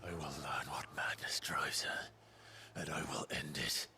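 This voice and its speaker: A brooding, deep-voiced swordsman. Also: a little slow, creaky, full character voice. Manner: low, quiet, often whispered, restrained. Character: brooding young male swordsman, grim and resolute. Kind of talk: video game cutscene acting.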